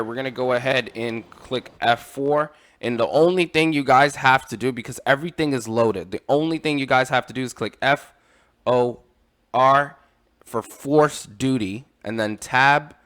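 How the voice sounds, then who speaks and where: A man's voice: mid-range voice, fairly bright tone, a little hiss or hum. A man casually walking viewers through game mods in an informal, instructive way, addressing them as guys. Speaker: gaming tutorial creator.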